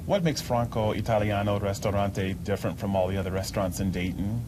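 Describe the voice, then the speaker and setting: A man's voice: fairly low pitch, fairly even intonation, quick pace, noisy background. A man hosting a local TV show, interviewing a restaurant owner with short questions. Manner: brief prompts and "mm-hmm" replies. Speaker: host.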